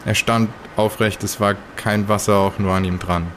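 A man playing a measured, grave witness who speaks formally and deliberately in an audio drama retelling. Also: lowish voice, flat delivery, fairly bright tone, slight background noise, lightly acted. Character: measured, grave male witness recounting eerie deaths.

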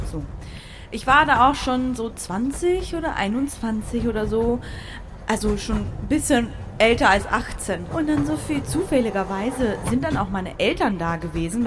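A woman in her twenties, co-hosting a casual podcast and telling stories in a lively, giggly way, recorded on location at a mine. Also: on the high side, background noise. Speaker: podcast co-host.

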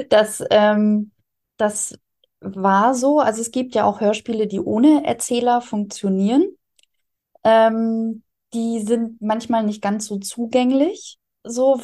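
A woman's voice: mid-range voice, dark tone. A woman writer explaining her work in conversational interview answers. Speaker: interviewed audio drama writer.

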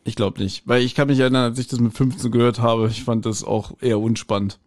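A man's voice: medium pitch, steady tone, fast. A man co-hosting a podcast, casually retelling and reviewing a story in a colloquial, opinionated way. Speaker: podcast co-host.

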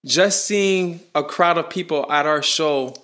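Canadian musician guy chatting, gets lively and climbs up in pitch when he's excited. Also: measured pace. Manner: animated storyteller, voice rising when excited. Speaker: musician guest. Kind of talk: casual interview.

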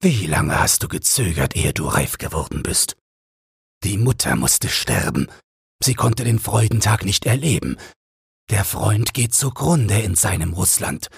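A man's voice: lowish voice, big pitch swings, measured pace, gravelly, slightly rough, no echo, studio quality, voice pushed up, full character voice. A man voicing a vengeful, mocking old father in an audiobook, taunting and declamatory as he condemns. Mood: bitter, accusing. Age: older. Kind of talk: dramatic audiobook character reading.

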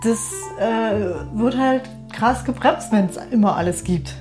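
A woman's voice: a little high, takes it slowly, music in the background. A middle-aged woman from East Germany chatting casually in colloquial speech, often ending on tag questions. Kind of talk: casual chat.

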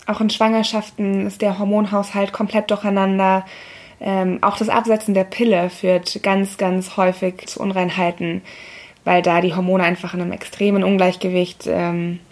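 Young female pharmacist-host, hoarse and scratchy, casual explainer with fillers. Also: medium pitch. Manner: friendly, explains step by step with fillers. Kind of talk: casual explanatory podcast talk.

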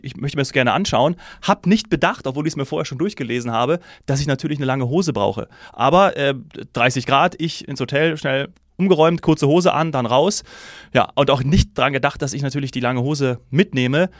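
A man from Hesse hosting a travel podcast, chatting casually and telling a lively story in which he acts out his own thoughts. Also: mid-range voice, dry recording, studio quality. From Hesse, Germany. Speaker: podcast host.

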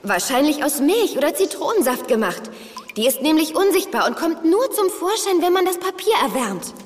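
A woman's voice: high-pitched, very animated, normal speed, in a large hall. An actress playing a clever, confident young girl adventurer in a children's audio drama, explaining things with assurance. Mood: thoughtful.

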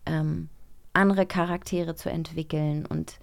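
A woman's voice: low voice, fairly even intonation, a little slow, creaky. A woman co-hosting a casual podcast, talking in an effusive, enthusiastic way. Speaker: podcast co-host. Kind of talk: casual chat.

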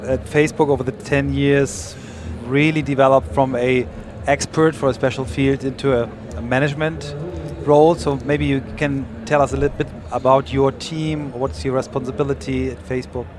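A man's voice: medium pitch, steady tone, normal speed. A man, asking questions as a podcast interviewer in conversational English. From Germany. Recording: busy crowd noise behind.

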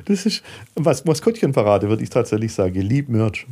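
Man, middle-aged, low voice, casual podcast guest, candid, colloquial, explains at length. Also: big pitch swings, fairly quick, mellow, breathy edge. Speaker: guest, filmmaker and managing director. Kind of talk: casual podcast interview chat.

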